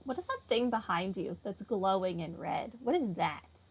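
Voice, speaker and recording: A woman's voice: mid-range voice, lively intonation, moderate pace, noisy background. A woman giving casual, wry and sarcastic commentary while playing through a video game. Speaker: gamer, playthrough host. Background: game music.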